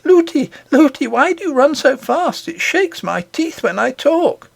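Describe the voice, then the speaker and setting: An excited little princess, chattering away in a high, breathless voice and firing off eager questions, as a man puts her on. Her voice leaps up and down with lively swings of pitch. It is a storybook reading in a dry, close sound. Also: measured pace, gravelly, no room sound, heavily acted.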